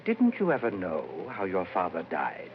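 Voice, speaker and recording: An actor playing an eerie, sorrowful dead madman poet with a slow, portentous, haunting delivery in old-time radio horror style. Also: low voice, wildly swooping pitch, exaggerated voice. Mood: solemn, sorrowful. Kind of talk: 1940s radio horror acting. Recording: muffled, hissy old radio recording.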